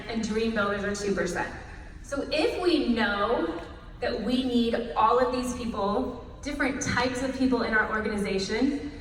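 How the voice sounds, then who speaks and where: A woman's voice: a little high, a little hiss or hum. A woman hosting a breakout session, speaking to an audience in an upbeat, motivational way. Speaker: session host. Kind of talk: presentation to an audience. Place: large echoey hall. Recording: roomy hall recording from a distance.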